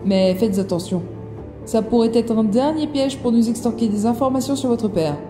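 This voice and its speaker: A woman plays a wary protector in an audio drama. Her higher voice sounds anxious and cautioning. It rings with long reverb beneath clear music. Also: lively intonation, normal speed, lightly acted. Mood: worried. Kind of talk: French audio drama acting.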